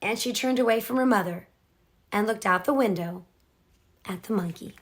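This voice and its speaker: A woman reading a children's picture book aloud in an expressive, measured storytelling style. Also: mid-range voice. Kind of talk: scripted storybook narration.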